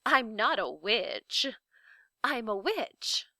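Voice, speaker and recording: Storybook role: kindly old lady witch, performed by a woman, measured and formal, creaky edge. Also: fairly high pitch, big pitch swings, no room sound, full character voice. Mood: calm, amused. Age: older. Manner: gentle, deliberate, formal. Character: kindly, dignified old lady, a not-so-wicked official witch. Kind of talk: children's storybook read-aloud.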